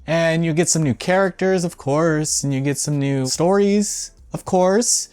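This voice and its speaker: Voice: medium pitch, measured pace, darkish tone, clear, resonant tone, faint music behind. A video game news host giving casual, opinionated commentary in an informal, blunt way, with some swearing.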